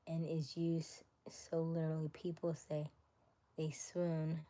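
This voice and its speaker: A woman hosting a casual live stream, chatting playfully with viewers, laughing often and dropping to a soft whisper as she reads comments. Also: low-pitched. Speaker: livestream host.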